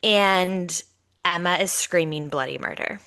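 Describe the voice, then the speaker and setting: Congested, chatty woman with bright tone. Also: lowish voice, measured pace, clear, resonant tone. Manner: animated, chatty storytelling. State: congested, nasal. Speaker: podcast co-host. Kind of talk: casual storytelling chat.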